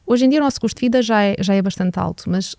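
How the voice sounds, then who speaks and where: A woman's voice: medium pitch, racing, vocal fry, mellow, dry recording, clean studio sound. A woman from Portugal with a European Portuguese accent, a guest on a business podcast, speaking earnestly and reflectively with frequent hesitations. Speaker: HR director guest.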